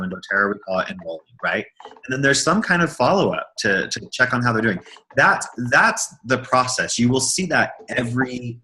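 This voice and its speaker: A man's voice: medium pitch, sharp tone, a little hiss or hum, poor audio. A man co-presenting a business training, talking casually and repeating words as he thinks aloud. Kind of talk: casual workshop talk.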